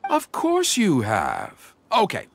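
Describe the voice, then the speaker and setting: Excitable theatrical storyteller, swooping pitch. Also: male voice, slow, creaky, slight background noise, exaggerated voice. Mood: satisfied. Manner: flamboyant, dramatic, grandiose narrating flourishes. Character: excitable theatrical male storyteller gathering heroic material. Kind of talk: video game voice acting. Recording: music under the voice.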